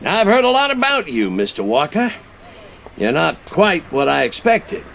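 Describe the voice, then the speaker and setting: An actor playing a gruff, dry-witted senior American navy commander, wry and authoritative, in a game cutscene. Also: male voice, on the high side, huge pitch swings, moderate pace, sharp tone, slight background noise, heavily acted. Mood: wry, appraising. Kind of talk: dramatic game cutscene dialogue.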